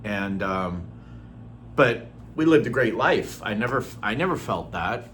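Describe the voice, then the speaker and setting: A middle-aged man from Norway, born Norwegian-speaking, talking in an earnest, unhurried way with frequent ums and throat clearing in a personal vlog. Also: on the low side, animated, a bit bright, a little hiss or hum. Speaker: vlogger. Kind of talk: casual personal vlog talk.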